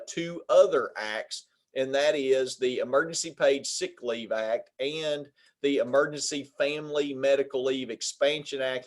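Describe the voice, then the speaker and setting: Folksy Arkansas-accented man presenting. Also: mid-range voice. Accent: Arkansas Southern. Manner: folksy, many filler pauses. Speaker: attorney presenting a webinar. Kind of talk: legal webinar presentation.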